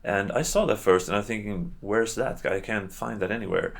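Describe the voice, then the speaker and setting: A man hosting a video in casual, unscripted commentary, with a halting, rambling and hedging way of talking. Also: on the low side, creaky. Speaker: video host reviewing games and adaptations.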